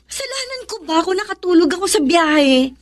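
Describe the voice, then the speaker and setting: A woman's voice: extremely high pitch, sing-song, a little fast, a little hiss or hum. A woman playing a young, practical but plaintive commuter in a Filipino radio drama. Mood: rueful, annoyed.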